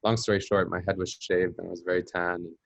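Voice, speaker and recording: A man's voice: low voice, flat delivery, quick pace, creaky, no echo. A man with an American accent, telling a personal story as an interview guest, reflective and searching for words with frequent fillers. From Palestinian diaspora. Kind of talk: conversational storytelling.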